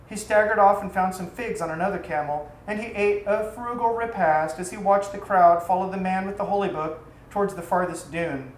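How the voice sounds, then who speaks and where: A woman narrates a literary tale in a theatrical, deliberate way. Her voice sits at a medium pitch. The recording is echoey, like a bare room, with faint noise behind her. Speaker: storyteller reading aloud. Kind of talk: dramatic literary story narration.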